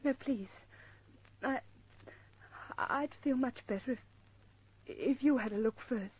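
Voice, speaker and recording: A frightened young female secretary from an old radio crime drama. Her voice is high and husky, with a hesitant, pleading delivery full of stammers. The sound is dull and vintage. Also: takes it slowly. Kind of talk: old-time radio melodrama acting.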